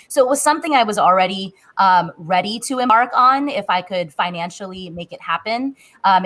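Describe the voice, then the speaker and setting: A woman's voice: medium pitch, a bit bright. A woman in her thirties speaking as a guest panelist, candidly telling her experience in conversation. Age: 30s.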